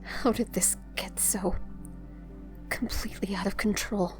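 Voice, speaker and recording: A woman's voice: medium pitch, steady tone, unhurried, over music, close to an everyday voice. A woman playing an earnest, shaken young superhero in an audio drama, hesitant and apologetic. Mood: distraught.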